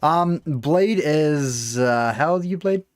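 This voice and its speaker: A man's voice: a little high, expressive, slow, no room sound. Chatty young man streaming, dry sound. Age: early 20s. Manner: chatty, laughs often, many fillers. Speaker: game streamer host. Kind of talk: casual livestream chat while gaming.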